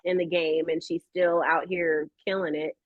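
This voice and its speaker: A woman's voice: deep voice, flat delivery, normal speed, no room sound. A woman talking casually and expressively as an interview guest, a voice actress telling her own stories. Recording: dull, lo-fi audio.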